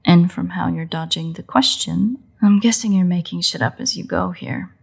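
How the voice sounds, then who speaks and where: A woman's voice: lowish voice, moderate pace, gravelly, breathy edge. A woman voicing a playful, cocky vampire in an intimate audio roleplay, drawling and teasing with wry sighs. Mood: blunt, tired.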